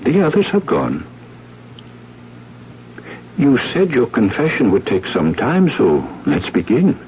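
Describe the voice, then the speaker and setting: Through a muffled old radio recording comes a slow-speaking village priest. He is fussy and stern, with hesitant mutters and wide swings of pitch, in classic radio play style. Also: wildly swooping pitch. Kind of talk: 1970s radio drama acting. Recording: dull, noisy 1970s radio broadcast.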